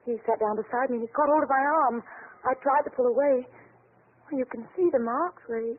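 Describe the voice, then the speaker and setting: A woman's voice: on the high side, a little fast, close to an everyday voice. An actress playing a frightened, mysterious young female amnesiac client in 1940s radio style, breathless, earnest and melodramatic. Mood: anxious recounting. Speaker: actress in a radio detective series. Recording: tinny, noisy 1940s radio recording.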